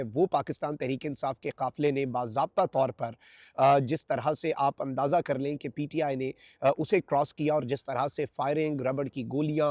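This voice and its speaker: A man's voice: a little high, a little mellow, dry recording, studio quality. A man with a Pakistani accent delivering news commentary to viewers as a channel host, in an emphatic, repetitive way.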